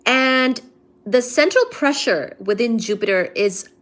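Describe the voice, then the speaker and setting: A young woman from India hosts a casual livestream, her voice sitting slightly high. She reads viewer comments aloud and teases the chat in an easygoing way. Her energy is low today. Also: expressive, measured pace, fairly bright tone. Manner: chatty, teasing, reads chat aloud and answers it. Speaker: livestream host.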